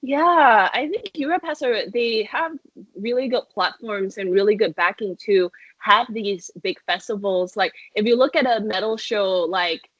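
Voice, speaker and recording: A woman's voice: medium pitch, a little slow, a bit bright, no echo. A young woman from Malaysia, a metal band singer, answering interview questions in a chatty way full of fillers and restarts. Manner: chatty, many fillers and restarts, laughs often. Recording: dull lo-fi sound, dry.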